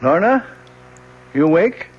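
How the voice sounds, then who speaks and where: A man's voice: a little high, sing-song, unhurried. An actor playing a worried, exasperated older professor, a father pleading with his grown daughter in a radio drama. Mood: concerned, gentle. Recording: muffled old radio recording, faint noise.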